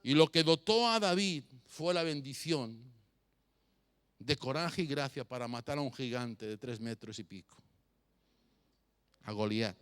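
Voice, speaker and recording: A man's voice: mid-range voice, a little slow, pauses often, a bit bright, clean tone. A man preaching a sermon as a pastor, emphatic and repeating phrases for effect. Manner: rhetorical questions addressed to listeners.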